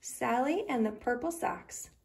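A woman reading a children's picture book aloud in an expressive, deliberate storytelling way. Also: on the high side, gravelly, a little hiss or hum.

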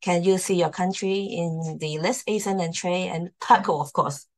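A woman's voice: fairly low pitch, moderate pace, darkish tone. A woman hosting and presenting in a formal slide talk over a video call. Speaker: host, university admissions presenter.

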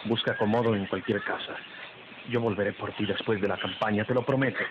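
A gallant captain played by a man speaks in a lowish voice, tender yet resolute. It is radio melodrama on an old recording full of loud noise. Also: steady tone, a little fast, highs cut off, lightly acted.

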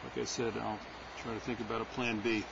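A gravelly-voiced man murmurs a relaxed running commentary as he works outdoors beside a nest box. He thinks aloud, pausing often with small fillers. The sound comes through a dull, lo-fi nest cam microphone with steady noise underneath. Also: lowish voice. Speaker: wildlife cam caretaker. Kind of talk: casual running commentary while working.

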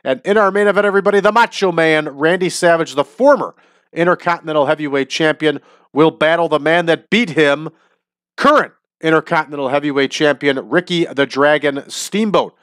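A man's voice: a little high, a little fast, a bit bright, no room sound, studio quality. A man with a stuffy, nasal voice from a sinus infection, hosting a wrestling simulation series in upbeat casual commentary. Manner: upbeat, addresses viewers as everybody. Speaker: host of a wrestling sim series.

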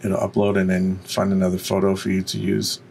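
A man with a deep voice giving an unscripted, step-by-step software tutorial. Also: completely flat delivery, fairly quick, gravelly, a little hiss or hum. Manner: instructional, step by step. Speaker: tutorial presenter.

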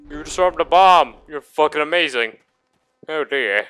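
Bright-voiced man doing sweary game commentary. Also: a little high, animated, a little slow, smooth. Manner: sweary, self-congratulating, exclamatory. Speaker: gaming video host. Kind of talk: casual gameplay commentary.

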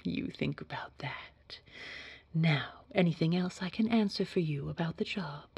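A woman playing a poised, sinister boss in an audio roleplay, speaking formally in a hushed, husky, measured and quietly controlling way. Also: deep voice, no room sound, dull audio, exaggerated voice. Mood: coolly persuasive. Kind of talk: scripted audio roleplay acting.

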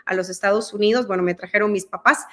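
A woman's voice: medium pitch, fairly even intonation, quick pace, fairly bright tone. A woman in her thirties from El Salvador, speaking Spanish with a Mexican-sounding accent, talking earnestly on a video call as a guest, with many fillers and restarts. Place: dim room at home. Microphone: video call laptop mic.

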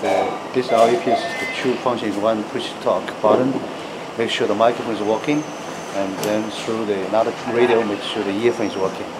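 Low-voiced man over loud factory noise. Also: fairly even intonation. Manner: halting, searching for words in non-fluent English. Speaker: factory guide. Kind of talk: informal walk-through explanation. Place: busy electronics factory floor, indoors. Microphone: handheld camera microphone. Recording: loud machinery noise, handheld camera sound.

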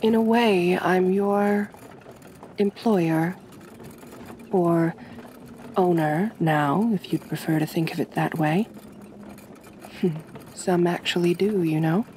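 A woman voicing a gentle, possessive aristocratic vampire mistress in an ASMR roleplay, soft-spoken, formal and soothing. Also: fairly low pitch, takes it slowly, long pauses, slight background noise. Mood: composed.

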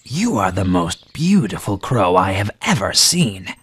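A man voicing a sly, flattering fox in a children's fable, with a low, gravelly, husky voice and drawn-out delivery. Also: a little hiss or hum. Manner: slow, drawn-out, smooth-talking flattery. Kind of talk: children's storybook character acting.

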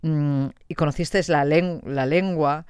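A woman's voice: low-pitched, fairly even intonation, slow pace, darkish tone, clear, resonant tone, dry recording, clean studio sound. A woman with an accent from Spain, presenting a radio interview as host. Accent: Spanish, from Spain. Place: radio studio.

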